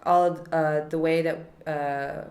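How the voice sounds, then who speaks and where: Low-voiced woman hosting in an echoey room. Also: fairly even intonation, slow, darkish tone. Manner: earnest, searching for words, with restarts. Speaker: podcast host. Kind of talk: casual conversation. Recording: roomy echo with faint background noise.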